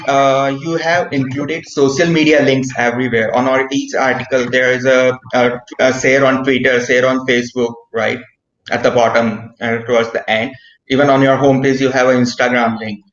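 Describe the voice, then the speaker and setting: A man's voice: medium pitch, fairly even intonation, moderate pace, a bit bright. A man giving feedback in an online meeting, hesitant, with many fillers and frequent "right?". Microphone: video call. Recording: roomy, dull video call audio.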